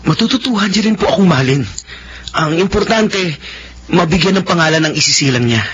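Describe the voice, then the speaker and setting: An actor playing an earnest, devoted young man in a radio drama, speaking haltingly and politely. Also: on the high side, animated, fairly bright tone, a little hiss or hum. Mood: pleading. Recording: dull sound, music underneath.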